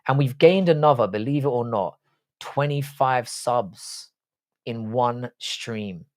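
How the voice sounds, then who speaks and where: A man's voice: mid-range voice, slow. A man in his forties with a South London accent, hosting a casual live stream, rambling, swearing freely and answering viewers' chat. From South London, England. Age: 40s.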